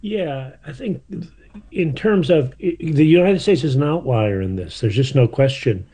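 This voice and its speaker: A man's voice: a little high, animated, a little slow, dark tone, slightly rough. A man, a history professor, explaining things in a radio interview, hesitant at first.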